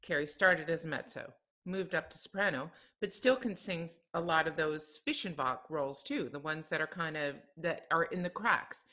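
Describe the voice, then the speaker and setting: A woman co-hosts a chat on a video call. Her voice sits low but comes across bright and forceful, and her delivery is brassy and emphatic, with a playful edge. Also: normal speed. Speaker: co-host.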